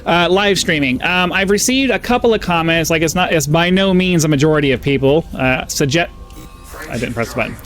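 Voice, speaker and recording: A man's voice: fairly high pitch, lively intonation, brisk, fairly bright tone, faint music behind. A man with a somewhat nasal voice, casually rambling through gameplay commentary and getting sidetracked, full of fillers. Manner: rambling, easily distracted, frequent fillers. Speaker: gaming channel host.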